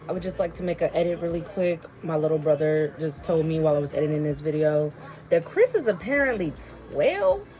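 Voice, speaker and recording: A woman's voice: deep voice, a little fast, low-quality recording. A young adult woman giving casual video commentary in a slangy, emphatic, conversational way, often repeating phrases for emphasis. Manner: animated, slangy, emphatic repetition. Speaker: YouTube host reviewing an animated series. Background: background music.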